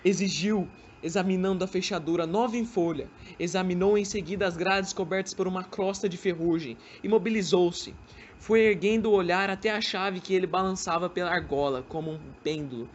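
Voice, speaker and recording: A woman's voice: on the low side, fairly quick. Narrator, female, scripted storytelling for student radio drama. Somewhat lo-fi sound, light background noise. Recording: dull amateur recording with faint noise.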